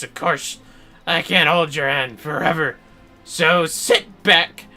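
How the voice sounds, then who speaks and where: A man voicing a greedy, boastful old treasure hunter from a video game, with theatrical piratical bluster and drawn-out words. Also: high-pitched, bright, forceful tone, exaggerated voice.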